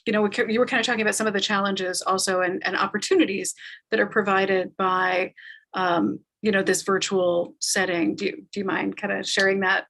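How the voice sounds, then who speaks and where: A woman moderating a live panel discussion, speaking in a halting, thoughtful way that circles around her phrasing. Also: medium pitch, flat delivery, creaky, a bit bright. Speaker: talkback moderator.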